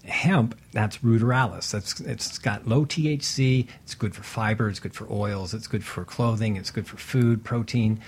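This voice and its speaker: A man's voice: lowish voice, normal speed, few pauses, slight background noise. A man guest on a talk radio show, explaining things in short, fact-listing bursts. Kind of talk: casual talk radio discussion.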